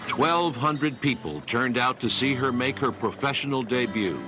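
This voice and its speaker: A man's voice: medium pitch, normal speed. A man narrating scripted sports history as a documentary narrator. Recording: guitar music under the voice.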